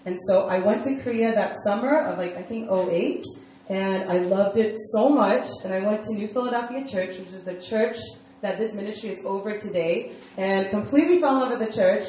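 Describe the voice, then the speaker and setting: A woman's voice: mid-range voice, a little fast, darkish tone. A young American woman from Virginia, a campus ministry director, giving a casual testimony-style sermon full of "like" fillers and easy laughter. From United States (Virginia). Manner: chatty, lots of "like" fillers, laughs easily. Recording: echoey hall with faint room noise.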